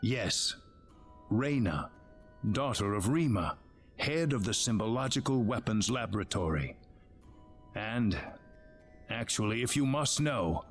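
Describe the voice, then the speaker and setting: An actor playing a serious, knowledgeable researcher who explains things gently and carefully in a video game. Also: male voice, lowish voice, lively intonation, faint music behind. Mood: solemn. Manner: measured, expository. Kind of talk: video game dialogue acting.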